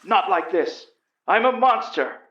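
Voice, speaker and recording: A man's voice: high voice, very animated, measured pace, a bit bright, voice pushed up, full character voice. A frightened American army major on the run , played by a male narrator, trembles with dread of the beast inside him. It is audio drama, recorded in a roomy space with a slightly dull sound. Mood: panicked. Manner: trembling, fearful.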